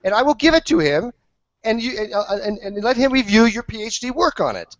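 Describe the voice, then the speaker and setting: A man's voice: sits high, very animated, a little fast, no echo. A man moderating a heated live online debate, insistently pressing for direct answers. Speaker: host moderating a debate panel. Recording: dull, lo-fi online call audio.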